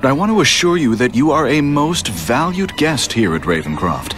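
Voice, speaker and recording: An actor playing an eager, unctuous asylum official in a video game, formal and polite with obvious relish. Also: male voice, medium pitch, very animated, normal speed, over music, lightly acted. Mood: smug, eager.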